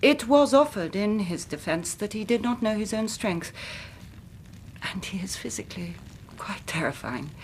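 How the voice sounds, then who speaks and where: Woman playing careworn young gentlewoman, English accent, period radio drama, precise formal diction, medium pitch, faint background noise. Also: lively intonation, moderate pace, lightly acted. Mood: grave, hushed. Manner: formal, precise, dramatic narrating with hushed moments. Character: anxious, careworn young Victorian gentlewoman recounting family tragedy. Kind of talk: British radio drama acting.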